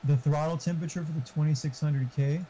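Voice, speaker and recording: A man's voice: fairly high pitch, steady tone, fast, mellow, a little hiss or hum, poor audio. A man in casual gaming voice chat, giving opinionated, informal tech advice with some swearing. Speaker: gamer giving computer hardware advice.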